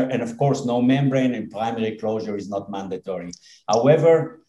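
A man in his fifties with an Israeli accent, a dentist and company head speaking as a podcast guest in an earnest, halting way with many fillers. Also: fairly low pitch, mellow, roomy sound. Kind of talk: podcast interview.

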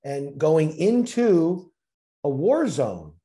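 Male interview host, slightly higher pitch, mellow dark timbre, affirming. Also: very animated, extremely slow. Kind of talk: interview conversation.

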